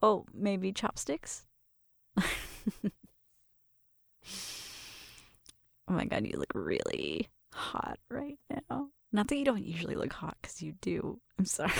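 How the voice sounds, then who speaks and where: Breathy, whispery flirty roommate played by a woman. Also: mid-range voice, no echo, studio quality, full character voice. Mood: shy, flirty. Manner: soft whispering mixed with murmured talk, shy and teasing. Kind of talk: ASMR roleplay, intimate one-sided conversation.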